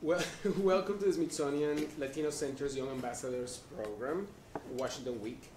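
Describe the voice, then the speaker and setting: A man's voice: medium pitch, normal speed, a little mellow. A man hosting a live panel discussion at a museum, introducing guests in a chatty, rambling way with many restarts. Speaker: panel moderator. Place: event room at a museum. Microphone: live stream. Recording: roomy sound from a distance with faint noise.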